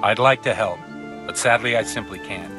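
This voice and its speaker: A man's voice: on the low side, normal speed, over music, lightly acted. A man playing a grudging, touchy village priest in a medieval video game. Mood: defensive, indignant. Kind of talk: video game dialogue acting.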